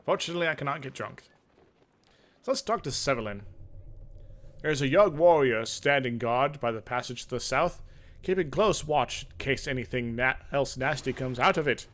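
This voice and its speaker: A man's voice: medium pitch, lively intonation, normal speed, fairly bright tone. A man narrating a video game playthrough, mixing casual, hesitant, wry asides with dramatic reading of the text.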